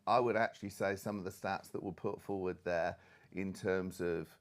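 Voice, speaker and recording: A middle-aged man talks as an expert on a discussion panel. His voice sits fairly low, and he speaks conversationally, wandering into asides and qualifications as he goes. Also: fairly even intonation, moderate pace.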